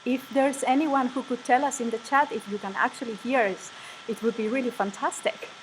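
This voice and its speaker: A woman's voice: on the high side, fairly even intonation, fairly quick, slight background noise, poor audio. A woman from Spain with a Spanish accent, presenting a live online session for a music ensemble. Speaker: ensemble member presenting a livestream. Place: room at a music conservatory.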